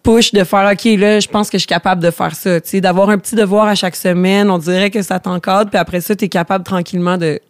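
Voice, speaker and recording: A woman's voice: mid-range voice, steady tone, quick pace, few pauses, dry recording, studio quality. A woman in her thirties with a Québécois French accent, a comedian chatting as a podcast guest, self-deprecating, with many fillers and restarts. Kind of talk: casual podcast conversation.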